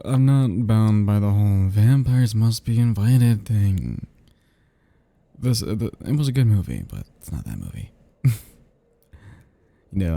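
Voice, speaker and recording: A man playing an ancient, flirtatious, possessive vampire in an intimate ASMR roleplay, speaking to the listener softly and huskily in a halting, teasing, casually menacing way. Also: fairly low pitch, no echo. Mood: awkward, friendly, then casually threatening. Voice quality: soft, husky, gravelly.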